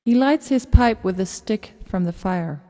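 A woman's voice: mid-range voice, expressive, measured pace, mellow. A woman narrating stage directions for a radio drama in short, scripted, matter-of-fact sentences, with a reverberant sound. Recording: long reverberant hall echo.

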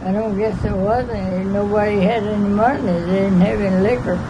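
A woman's voice: mid-range voice, fairly even intonation, a little fast, lo-fi sound, noisy background. A woman in her nineties with a Texan accent, reminiscing plainly and laughing often on an outdoor patio. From Texas. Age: 90s. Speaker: interviewee. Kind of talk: casual reminiscing.

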